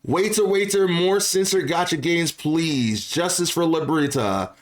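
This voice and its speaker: Man with a slightly high voice, casual commentary. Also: measured pace. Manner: repeats phrases for emphasis. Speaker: video creator and host. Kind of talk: casual opinion commentary on gaming news, partly reading aloud.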